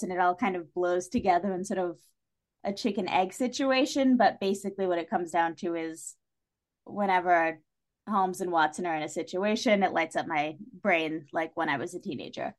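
A woman talking casually as a podcast guest, enthusiastic and wry, with playful asides. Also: on the low side.

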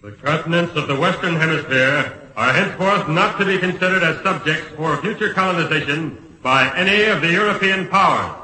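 A formal, stern statesman in vintage radio style. The voice is piercingly forceful and fairly high, declaiming from a distance in an echoey space. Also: fairly quick, few pauses. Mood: stern, proclaiming. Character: stern, formal statesman proclaiming a national doctrine. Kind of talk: declamatory old-time radio acting.

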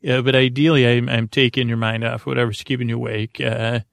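A man with a very creaky, low-key voice hosts a bedtime podcast, recorded close and dry. He rambles soothingly and haltingly, drifting off into digressions and circling back. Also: flat delivery, a bit bright, dry recording. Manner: lulling, soothing. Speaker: podcast host.

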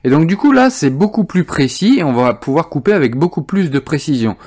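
A man presenting an informal software tutorial, explaining things step by step as he demonstrates them. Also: on the high side, very animated, a little fast.

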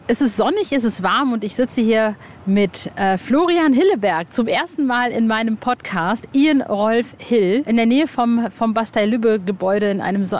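A woman speaks as a podcast host with a chatty, informal delivery. Her moderation is lively and sprinkled with playful asides. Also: a little high.

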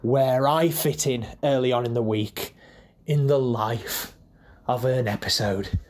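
A man's voice: medium pitch, slow pace, darkish tone. Male podcast director, mid-range voice, informal and rambling, lightly self-deprecating behind-the-scenes chat. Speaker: podcast director, editor and voice actor.

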